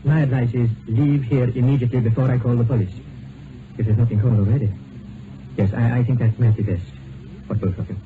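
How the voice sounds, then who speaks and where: An actor playing a tactful, awkward doctor in a vintage radio drama, speaking formally and haltingly. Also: male voice, medium pitch, fairly even intonation, lightly acted. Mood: grave advice. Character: tactful, awkward male doctor, polite and helpful. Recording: noisy tinny old radio broadcast recording.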